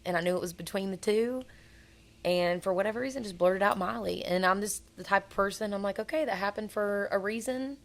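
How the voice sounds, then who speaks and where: A young woman with a naturally raspy voice, telling stories in an earnest, rambling way as a singer being interviewed. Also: medium pitch, brisk. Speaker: guest singer. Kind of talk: casual interview chat.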